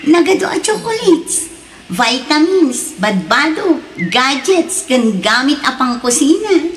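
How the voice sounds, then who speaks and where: Sorrowful betrayed young wife, high voice, Ilocano accent, radio drama acting, slight background noise. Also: female voice, big pitch swings, slow pace, exaggerated voice, poor audio. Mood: grateful, polite.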